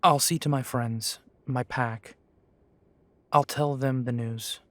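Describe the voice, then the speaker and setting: A man's voice: medium pitch, fairly even intonation, slow, a loud crowd, close to an everyday voice. A young man voicing a young male werewolf pack leader who talks earnestly and deferentially. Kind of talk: amateur audio drama acting.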